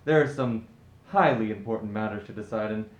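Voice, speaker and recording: A man's voice: fairly low pitch, brisk, natural voice. A man playing a breezy, self-absorbed upper-class husband and father in a radio play, with an offhand, blustering manner. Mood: dismissive. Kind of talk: scripted radio play acting. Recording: roomy echo, faint background noise.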